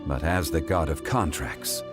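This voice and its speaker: An actor playing a calm, ancient, weary male god in a video game, speaking in a measured, formal, grave way. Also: rumbling low, loud music behind the voice. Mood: regretful, firm.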